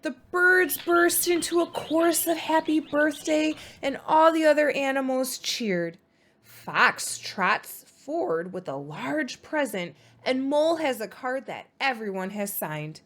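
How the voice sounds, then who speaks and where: Woman reading storytime, very lively intonation. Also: high voice. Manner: animated, deliberate. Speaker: storybook reader. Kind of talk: expressive children's storytime narration.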